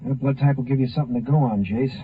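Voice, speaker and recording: An actor playing a plainspoken, helpful highway patrolman in a 1950s radio drama, with a clipped, folksy delivery. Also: fast, breathy edge. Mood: subdued, helpful. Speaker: supporting actor. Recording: narrow muffled 1950 radio recording.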